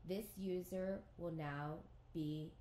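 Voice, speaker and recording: Lady with a lowish voice reading step by step software how-to, neutral and measured, bit of noise behind. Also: fairly even intonation. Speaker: software tutorial narrator. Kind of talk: scripted instructional narration.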